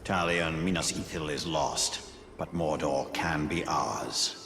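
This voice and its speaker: Commanding game wraith: bottomless, creaky, portentous, cavernous reverb. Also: male voice, very deep voice, steady tone, takes it slowly, a bit bright, slight background noise, heavily acted. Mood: ominous. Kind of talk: dramatic video game acting.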